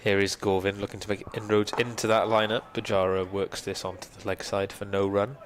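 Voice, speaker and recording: A measured, courteous cricket commentator whose first language is Welsh, giving ball-by-ball calls in a lowish, even voice. A faint ambient hiss runs quietly under his words. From Wales.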